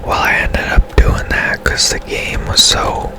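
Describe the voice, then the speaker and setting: A man giving casual, hushed ASMR commentary over a video game in a breathy whisper, musing in an unhurried way. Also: over music. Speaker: game streamer, host.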